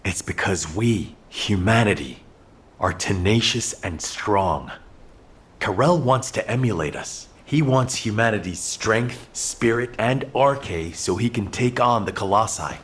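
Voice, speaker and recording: Game cutscene lead: remorseful, resolute male commander, lowish voice, grave and deliberate. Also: lively intonation, a bit bright. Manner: solemn, measured, resolute. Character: earnest, remorseful male commander in a sci-fi war. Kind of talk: video game cutscene acting.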